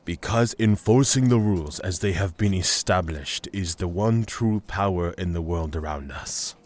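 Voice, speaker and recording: A man's voice: sits low, moderate pace, darkish tone, natural voice. A man playing a haughty, rigid cleric in a fantasy audio drama, formal, self-assured and matter-of-fact. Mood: proud, composed. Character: haughty, rigid male cleric of the order god.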